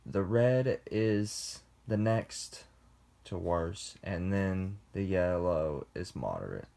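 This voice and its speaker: A young man giving a class presentation, reading slowly and deliberately with pauses. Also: deep voice, expressive, creaky, dark tone. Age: young adult. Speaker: student presenter. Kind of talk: class presentation read from script.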